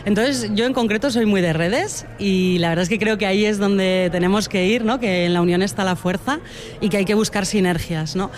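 A woman's voice: medium pitch, moderate pace. Earnest woman beekeeper interviewee. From Spain (Huesca, Aragón). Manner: thoughtful, earnest, frequent tag questions. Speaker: beekeeper and environmental educator, interviewee. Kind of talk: radio interview answers.

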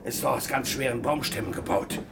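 An actor playing a wild, lonely marooned ex-pirate sailor in a radio play, halting and eager. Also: male voice, mid-range voice, vocal fry, noisy background, lightly acted. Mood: earnest, grave. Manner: halting, wistful, eager, with sudden urgency. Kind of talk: German-language radio play acting.

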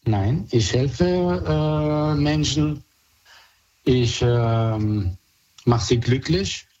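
A man's voice: medium pitch, extremely slow, darkish tone. An older man from Sicily, a native Italian speaker, calling in to a radio show and talking in a hesitant way, with many fillers. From Sicily, Italy. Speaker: caller. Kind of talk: phone-in chat on radio. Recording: muffled phone line.

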